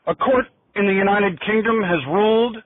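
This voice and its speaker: Guy doing a mock radio news report, super deadpan n formal, sounds like hes on a tinny phone. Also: high voice, moderate pace, no echo. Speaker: newscaster. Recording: tinny, phone-like narrowband line.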